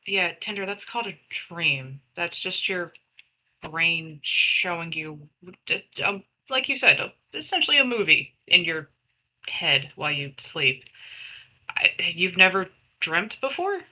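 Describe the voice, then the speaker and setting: A woman's voice: on the low side, big pitch swings, measured pace, sharp tone, exaggerated voice, low-quality recording. A woman playing a blunt, exasperated friend in a tabletop game, stammering through casual explanations. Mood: exasperated, explaining.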